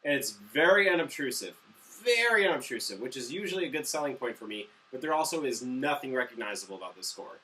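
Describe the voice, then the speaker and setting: Bright-voiced, blunt man, slightly off mic. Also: medium pitch, very animated, fast, recorded from a distance, poor audio. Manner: blunt, argumentative. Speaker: movie review show co-host. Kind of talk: casual, opinionated review banter.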